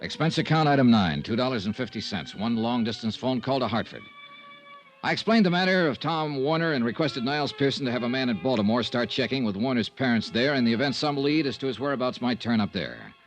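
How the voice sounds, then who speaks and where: A man playing a brisk, dogged, wry insurance investigator in a 1950s radio detective drama. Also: mid-range voice, animated, faint music behind, natural voice. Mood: brisk narrating. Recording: old radio drama recording.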